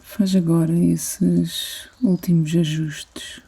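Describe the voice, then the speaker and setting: Woman, European Portuguese accent, meditation guide, soothing, low-pitched, very even intonation, unhurried pauses. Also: creaky, slightly rough. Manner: soothing, gentle, unhurried phrasing with long pauses. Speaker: yoga nidra instructor.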